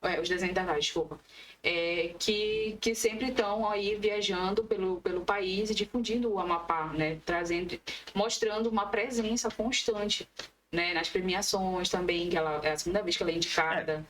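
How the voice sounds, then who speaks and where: A woman's voice: medium pitch, moderate pace, a bit bright, a little hiss or hum, poor audio. A woman talking casually as a guest on a podcast. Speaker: podcast guest, comics event organizer.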